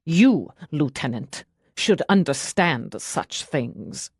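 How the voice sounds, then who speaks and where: A woman's voice: sits low, big pitch swings, takes it slowly, husky, no room sound, clean studio sound, voice pushed down, full character voice. A woman voicing a stiff, proud, cold male servant in an audiobook, formal, clipped and bitterly protective. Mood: grieving. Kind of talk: audiobook character dialogue.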